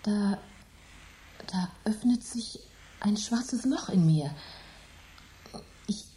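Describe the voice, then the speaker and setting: Frightened, soft-toned, haunted woman. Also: medium pitch, lively intonation, a little hiss or hum. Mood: frightened, hushed. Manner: halting, breathy whispers and repeated words. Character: terrified, fatalistic woman trapped by eerie forces. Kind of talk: German radio drama acting.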